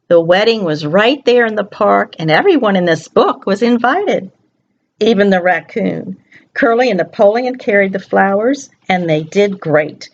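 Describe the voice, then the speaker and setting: A woman reads a children's story at an unhurried pace and a middle pitch. She is expressive and turns to her young listeners with questions. The sound is a little dull and lo-fi. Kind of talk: reading a picture book aloud to young children.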